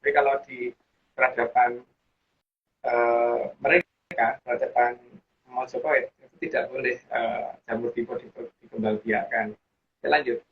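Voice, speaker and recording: A man's voice: medium pitch, fairly even intonation, unhurried, pauses often. A man, explaining in casual chat and rambling through restarts and fillers. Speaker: guest explaining teachings. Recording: dull lo-fi audio with faint hiss.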